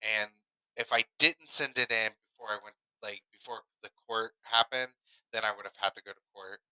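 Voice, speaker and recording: A man with a very bright, forceful voice chats as a podcast co-host. His sound is clean, smooth and dry. He tells stories casually, repeating phrases for emphasis. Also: lowish voice, fairly even intonation, normal speed, dry recording, poor audio. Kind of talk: casual personal storytelling.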